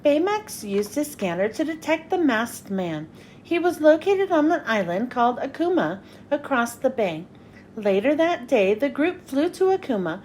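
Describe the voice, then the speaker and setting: A woman reading a children's story aloud in an expressive, dramatic storytelling style. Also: sits high, a little hiss or hum. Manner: expressive, dramatic with character lines. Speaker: children's book reader. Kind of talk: animated read-aloud storytelling for kids.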